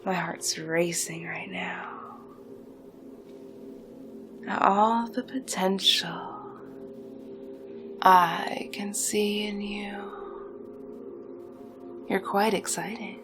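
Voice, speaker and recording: A woman playing a conspiratorial, seductive supervillain recruiter in a theatrical roleplay, speaking with dramatic pauses and hushed, breathy passages. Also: mid-range voice, steady tone. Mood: conspiratorial, enticing. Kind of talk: slow theatrical roleplay monologue, partly whispered. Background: music.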